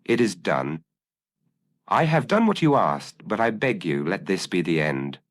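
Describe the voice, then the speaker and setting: A man's voice: low-pitched, big pitch swings, measured pace, dry recording, clean studio sound. Man playing village gossip woman, frightened, pleading, strained, gothic audio story. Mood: anxious pleading.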